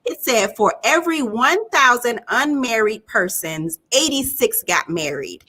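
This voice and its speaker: Woman, 40s, relationship coach host; upbeat, laughing, bright tone. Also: fairly high pitch, animated, unhurried, slightly rough. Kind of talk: casual live-stream chat.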